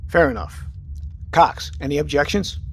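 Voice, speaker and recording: Commanding, pragmatic military captain, highish voice. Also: male voice, moderate pace, a bit bright, a little hiss or hum. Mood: firm, resolved. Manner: commanding, clipped, matter-of-fact. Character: steady, pragmatic military submarine captain leading a mission. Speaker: actor in audio drama. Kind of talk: audio drama acting.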